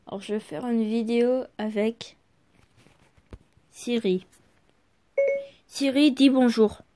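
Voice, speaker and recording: Impatient high-voiced vlog host. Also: female voice, slow pace, dark tone. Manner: impatient, repeats the same question. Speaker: channel host. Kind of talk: casual vlog chat.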